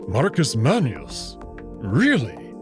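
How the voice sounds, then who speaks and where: A man playing a proud, confident wolf-man noble in a visual novel, formal and deliberate in his wording. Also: mid-range voice, huge pitch swings, music in the background, heavily acted. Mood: assured. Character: proud, confident male wolf-man noble, playful but hot-tempered.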